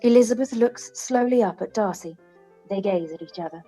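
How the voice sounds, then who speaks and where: A woman's voice: medium pitch, a little fast, mellow, soft background music. A woman reading scripted stage directions as the narrator of a radio play.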